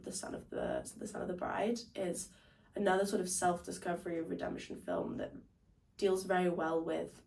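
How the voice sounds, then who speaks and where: A young woman from London speaks with a lively, rising-and-falling melody and a gravelly edge to her voice. Her answers are earnest and reflective, and she sounds a little distant from the microphone. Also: lowish voice. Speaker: interviewee. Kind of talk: casual interview answers. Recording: voice picked up from a distance.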